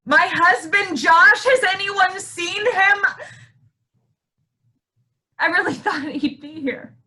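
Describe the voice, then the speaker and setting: Actress as an unhinged, grieving wife, high bright voice. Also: female voice, slow, full character voice. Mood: bitterly cheerful. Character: grief-stricken, unhinged wife clinging to stolen baby deer. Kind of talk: staged play reading.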